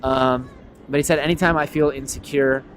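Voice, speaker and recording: A man's voice: medium pitch, fairly even intonation, moderate pace, background noise. A man talking casually as a podcast host, animatedly telling stories and acting out example greetings. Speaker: podcast host and memory expert. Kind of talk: casual conversational discussion.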